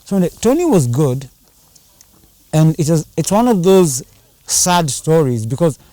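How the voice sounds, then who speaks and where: A man's voice: a little high, sing-song, unhurried, mellow, no room sound. A man chatting casually in an interview, rambling and slipping into Swahili slang. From Kenya. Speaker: band singer and drummer.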